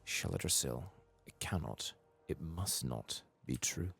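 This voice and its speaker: A man soft-spokenly reading a grave, sorrowful elven priestess from a fantasy game, in formal, measured phrases. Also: rumbling low, vocal fry, slightly rough, full character voice.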